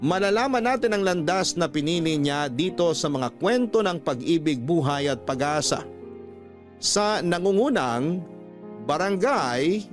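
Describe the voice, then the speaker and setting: A man with a Filipino accent reading scripted, sentimental stories as a radio host in a measured way. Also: fairly high pitch, animated. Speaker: radio storytelling host reading listener letters. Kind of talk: scripted dramatic narration. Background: music bed.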